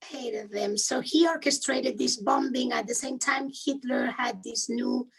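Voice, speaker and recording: A woman from Spain with a strong Spanish accent, a guest attorney answering interview questions quickly and earnestly, often restarting as she searches for English words. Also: a little high, creaky, breathy edge, faint music behind. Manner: fast, earnest, self-correcting, searches for words. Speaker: guest, human rights attorney. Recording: distant, garbled video call audio.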